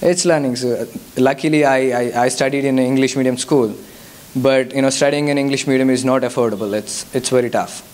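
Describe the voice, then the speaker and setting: A man's voice: mid-range voice, fairly even intonation, a little fast, darkish tone. A young man from India with a Telugu-accented English, a Telugu native speaker answering audience questions as a guest speaker at a church youth service, hesitant with frequent fillers and restarts.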